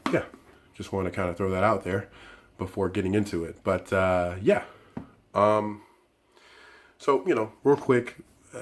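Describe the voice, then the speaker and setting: A hoarse man, his voice worn down by the flu, hosts a casual tech unboxing and review, rambling with many fillers and restarts. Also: low-pitched. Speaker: tech reviewer and channel host.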